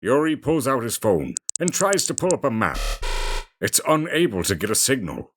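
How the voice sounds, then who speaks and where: A man delivers scripted story narration in a mid-range voice. His pitch moves animatedly to build drama. Music plays clearly behind him. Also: sing-song, normal speed.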